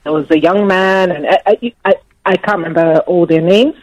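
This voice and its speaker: A woman's voice: on the low side, normal speed. A middle-aged woman with a Nigerian accent calling a radio phone-in, emotional and upset, with many restarts and trailing sentences. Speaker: caller. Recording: muffled phone line.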